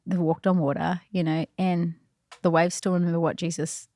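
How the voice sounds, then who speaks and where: Low-voiced woman telling faith stories. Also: level tone, normal speed, darkish tone, dry recording, studio quality. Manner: chatty, with frequent "like" and "you know" fillers; earnest and reflective. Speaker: podcast guest. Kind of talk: casual faith storytelling.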